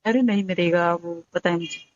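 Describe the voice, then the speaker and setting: A woman's voice: fairly low pitch, moderate pace, no echo. A woman talking casually in a recorded phone conversation with dull, lo-fi sound.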